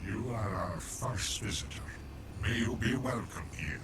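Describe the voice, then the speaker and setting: A man voicing a giant ancient tree slug creature in a video game, making slow, solemn proclamations with some phrases whispered. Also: lowish voice, expressive. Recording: game audio under loud noise.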